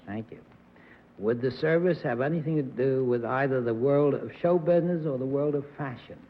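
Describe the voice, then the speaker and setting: A man's voice: medium pitch, normal speed. A man on a television panel, making genial introductions and polite questions. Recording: dull 1960s television broadcast audio.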